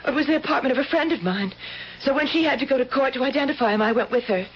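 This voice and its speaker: A woman's voice: high voice, racing, close to an everyday voice. An actress playing a timid, honest young working woman in a radio drama, hesitant, earnest and defensive. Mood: nervous. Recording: muffled, hissy old radio drama recording.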